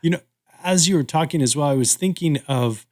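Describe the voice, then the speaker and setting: A man co-hosting a podcast, discussing casually in an agreeable, thoughtful and somewhat halting way. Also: on the high side, darkish tone. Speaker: podcast co-host.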